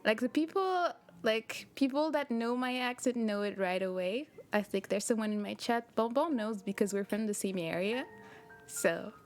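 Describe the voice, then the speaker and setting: A fairly high-voiced young woman with a Quebec French accent chats easily with her stream audience. She laughs often and answers messages as they come in. Music plays steadily behind her. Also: expressive, normal speed. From Quebec, Canada. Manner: chatty, laughs often, replies to chat messages. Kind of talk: casual livestream chat with viewers. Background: background music.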